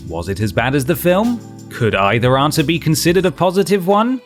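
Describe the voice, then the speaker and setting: A man reading scripted narration for a ranked list, with a wry, dry sense of humour. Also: medium pitch. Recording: music behind the voice. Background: background music.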